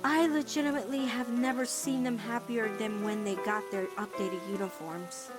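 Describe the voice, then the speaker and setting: Caring, high-voiced teenage witch girl, played by a woman. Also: steady tone, moderate pace, over loud music, natural voice. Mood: softly chatting. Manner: firm, reasoning, tender. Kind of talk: animated-series style comic dub acting.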